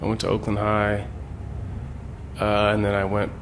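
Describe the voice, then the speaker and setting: A man's voice: fairly low pitch, monotone, normal speed, a little hiss or hum. A man, talking in a loose, slangy, rambling way as an interview guest. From San Francisco Bay Area, US. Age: 40s. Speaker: interview guest, graffiti artist. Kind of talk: casual reflective interview talk.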